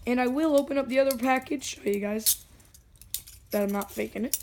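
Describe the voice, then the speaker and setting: Hoarse high-voiced kid vlogger, jokey, bit of hiss behind. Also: a little fast, darkish tone. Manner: chatty, jokey, self-talking. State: hoarse, lost voice. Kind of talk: casual unboxing vlog.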